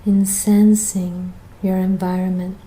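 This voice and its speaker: A woman leading a guided meditation as the guide, speaking in a gentle, soothing manner with long pauses between phrases. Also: medium pitch, level tone, low-quality recording.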